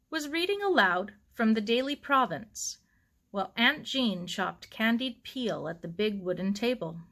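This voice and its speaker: A woman's voice: mid-range voice, sharp tone. A woman reading a story aloud as scripted audiobook narration. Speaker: audiobook reader.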